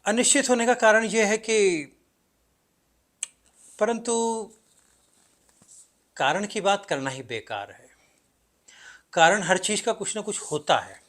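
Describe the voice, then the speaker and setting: A male actor playing an enigmatic, self-doubting everyman narrator in a stage play, addressing the audience with deliberate dramatic pauses and emphatic swings. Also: high voice, animated, fairly bright tone, heavily acted. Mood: searching, intense. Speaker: actor performing a play monologue. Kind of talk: theatrical stage monologue, addressing the audience directly.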